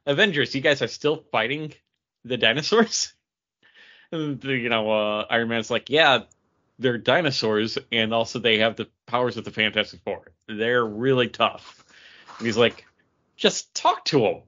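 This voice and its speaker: A man with a mid-pitched, bright and forceful voice talks casually as a podcast co-host. He rambles with enthusiasm through a comic book review. The recording is a little dull and lo-fi. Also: measured pace.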